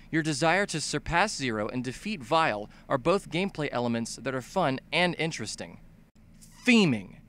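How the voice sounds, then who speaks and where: A man with a highish, forceful voice delivers comedic video game commentary. His pitch swings widely as he hammers his points. He swears freely and can burst into shouting. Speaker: video essay narrator, fan dubbing a game review.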